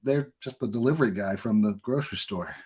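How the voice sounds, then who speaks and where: A man's voice: on the low side, fairly quick, a little mellow, breathy edge. American man, casual podcast host. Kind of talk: casual conversational interview.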